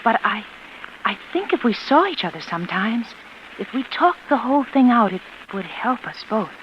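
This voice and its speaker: Casting: anguished ailing wife, emotionally intense, halting delivery, 1940s radio melodrama with dull hissy sound. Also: female voice, a little high, sing-song. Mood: pleading. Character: anguished, ailing former concert pianist wife, desperately insistent. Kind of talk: 1940s radio drama acting. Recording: muffled, noisy old radio broadcast.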